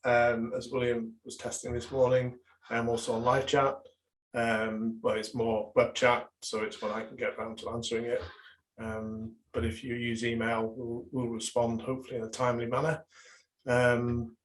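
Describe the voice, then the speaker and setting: A man coaching a webinar over a video call, his voice a touch strained as he loses it. He talks in a flat, even line, stopping often on fillers and restarting his sentences. He sits at a middle pitch. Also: moderate pace, a little hiss or hum, low-quality recording. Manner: hesitant, many fillers and restarts. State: losing his voice. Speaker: business and marketing coach leading a webinar. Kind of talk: live online workshop presentation.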